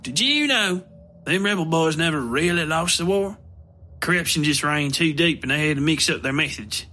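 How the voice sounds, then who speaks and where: A man's voice: a little high, animated, normal speed, sharp tone, faint music behind, voice pushed up. A man voicing a folksy, boastful shopkeeper in a storybook tale, with rambling, drawling country talk. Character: folksy boastful male shopkeeper telling war tales.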